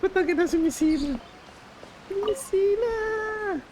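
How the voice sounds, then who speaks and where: A man's voice: very high-pitched, a little slow, background noise. A man with a Filipino accent, a native Tagalog speaker, reacting casually as a game streamer. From Southeast Asia. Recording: game music behind the voice.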